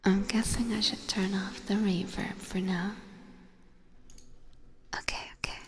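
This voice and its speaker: A woman livestreaming ASMR, chatting gently to her viewers in a soft, breathy whisper close to a binaural microphone. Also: mid-range voice, little pitch movement, a little slow. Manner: gentle, chatty, giggly, addressing chat.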